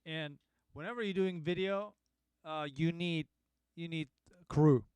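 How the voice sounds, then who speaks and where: A man, a bass player co-hosting a studio livestream, talking in an easygoing way with frequent pauses and restarts. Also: darkish tone, clear, resonant tone, no room sound. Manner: halting, many ums and restarts, easygoing. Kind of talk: casual livestream chat. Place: recording studio.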